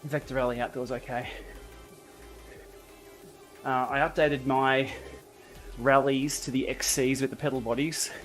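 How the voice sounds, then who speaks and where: A man's voice: medium pitch, flat delivery, measured pace, long pauses, fairly bright tone, faint music behind. A man hosting a live indoor cycling stream, chatting casually and answering viewer comments as he rides. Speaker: livestream host. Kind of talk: casual live chat while riding. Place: indoor training room.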